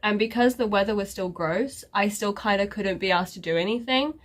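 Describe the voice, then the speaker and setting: A woman's voice: medium pitch, fairly quick. A woman vlogger giving a casual life update, her nose stuffy and congested, rambling and sarcastic about herself. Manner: sarcastic, self-mocking, rambling with many fillers.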